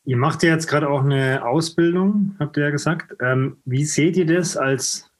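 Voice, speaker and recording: A man's voice: fairly high pitch, normal speed. A man interviewing his guests in a casual conversation. He asks long questions and hedges a lot while he phrases them.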